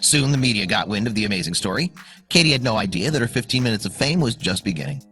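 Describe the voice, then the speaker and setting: A man's voice: on the low side, very animated, quick pace, pushed and bright. A man narrating a scripted dramatic story in a suspenseful, emphatic way. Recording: music under the voice. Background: background music.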